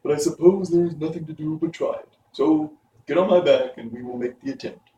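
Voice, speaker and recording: Cowardly lion, performed by a man, storybook character, raised pitch, timid, hesitant, roomy sound. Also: a little fast, mellow, far from the mic, highs cut off, slight background noise, close to an everyday voice. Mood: nervous, willing. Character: cowardly big male lion, timid but willing.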